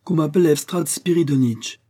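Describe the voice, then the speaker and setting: A man voicing an indignant, overexcited gentleman with a huffy, outraged manner in a storybook reading. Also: fairly low pitch, expressive, a little fast, slightly rough.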